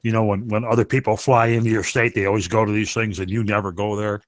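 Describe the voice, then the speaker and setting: A man's voice: fairly low pitch, flat delivery, fast, no room sound, studio quality. A man chatting casually in a group, asking questions and telling stories.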